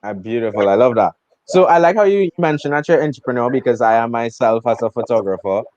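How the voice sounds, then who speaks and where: A man's voice: medium pitch, animated, fast talker, a little mellow, no room sound, lo-fi sound. A young man interviewing a guest in a casual chat, friendly and enthusiastic.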